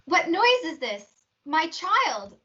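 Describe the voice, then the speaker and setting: A woman's voice: extremely high pitch, sing-song, slow pace, highs cut off, a crowd in the background, full character voice. A young woman playing an insistent, dramatic noble mother in a stage-play reading. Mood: alarmed.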